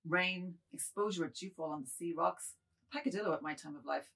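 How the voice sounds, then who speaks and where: A woman's voice: on the low side, a little fast, creaky, a bit bright, husky, heavily acted. A woman playing a submissive, wordy, fretful aging man, speaking florid lines in a dramatised literary reading. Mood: anxious. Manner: florid, meek, rambling.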